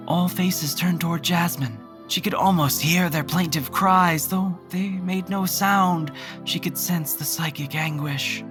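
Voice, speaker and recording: Female narrator for audio drama. Low register, measured, deliberate pauses, music bed. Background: music under the voice.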